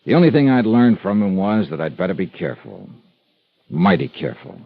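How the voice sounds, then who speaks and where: An actor playing a wry, tough but caring insurance investigator in a 1950s radio detective drama, with a clipped, world-weary manner. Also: male voice, low-pitched, very animated, a little fast, creaky, heavily acted. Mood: dry narrating. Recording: muffled vintage radio recording.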